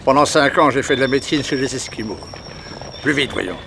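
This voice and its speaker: An actor playing an eminent surgeon in a 1960s French radio drama, brusque, imperious and indignant. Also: male voice, mid-range voice, fairly quick, vocal fry, fairly bright tone, a little hiss or hum. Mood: impatient.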